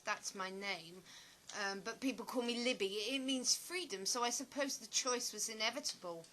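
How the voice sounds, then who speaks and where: An actress playing an eccentric, nervous former actress in a dystopian audio play, rambling with theatrical emphasis. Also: female voice, fairly high pitch, moderate pace, bright, forceful tone, rough recording. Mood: defensive.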